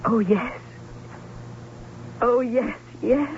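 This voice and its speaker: An actress playing a romantic, naive young bride with wounded, breathless earnestness in an old radio drama. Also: female voice, on the high side, very slow, a little hiss or hum. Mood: wistful, enamored. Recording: tinny old radio with music.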